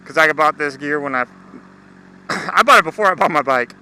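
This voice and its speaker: A man's voice: a little high, lively intonation, moderate pace, bright, forceful tone, smooth, low-quality recording. A young American man chatting casually in a rambling, slangy, laid-back way, as a motorcycle vlogger out riding. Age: young adult. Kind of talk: casual rambling vlog chat. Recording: engine, road and traffic noise. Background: engine and vehicle noise.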